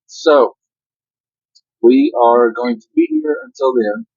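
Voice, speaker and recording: Husky older man, truck driver. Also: medium pitch, takes it slowly, a little mellow, no echo, muffled recording. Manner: matter-of-fact, gives safety advice. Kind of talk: casual vlog chat.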